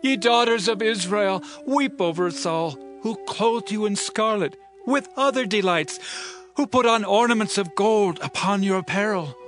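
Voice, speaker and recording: King david, solemn grieving king, fairly high voice, music soft under it. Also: measured pace. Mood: lamenting. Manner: solemn, lamenting. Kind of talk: dramatized scripture reading. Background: faint background music.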